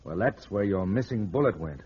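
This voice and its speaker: An actor playing a shrewd, sardonic private detective in an old-time radio drama. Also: male voice, fairly low pitch, animated, moderate pace, darkish tone, husky. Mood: explaining, wry. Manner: sardonic, explaining, teasing. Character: wisecracking, shrewd male private detective, smooth with women. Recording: muffled vintage radio drama recording.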